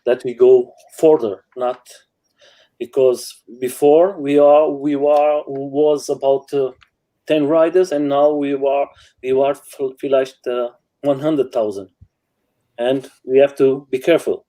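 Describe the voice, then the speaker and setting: Halting man, warm voice. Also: mid-range voice, steady tone, low-quality recording. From Portugal. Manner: halting, many fillers, laughs while telling stories. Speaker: guest rider. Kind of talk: casual chat in non-native English. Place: home.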